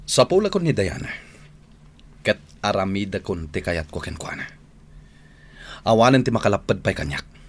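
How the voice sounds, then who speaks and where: A man's voice: on the low side, big pitch swings, normal speed, long pauses. A man playing the vengeful, eager young son of a crime boss in a radio drama, with an Ilocano accent. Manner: intense, dramatic. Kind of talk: Filipino radio drama acting.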